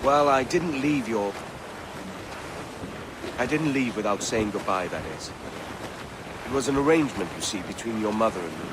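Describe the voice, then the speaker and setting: Sea captain father male actor, game cutscene: measured, tender, regretful, lower register, clear ocean noise. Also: expressive, natural voice. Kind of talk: naturalistic video game cutscene acting. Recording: noisy wind and waves on ship.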